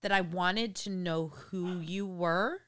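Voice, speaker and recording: Woman, middle-aged, mother, bright forceful tone, lowish pitch, reflective, chatty. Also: slow pace, smooth. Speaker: podcast co-host, mother. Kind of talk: casual family chat.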